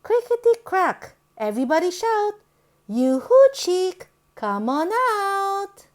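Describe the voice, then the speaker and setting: A woman reading a picture book aloud to young children in a sing-song, exaggerated, deliberate way. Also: very high-pitched, very animated.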